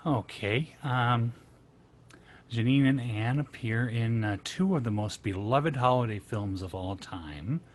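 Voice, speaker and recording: A man relaying audience questions to a panel, pausing often as he goes. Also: mid-range voice. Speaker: co-host reading audience questions.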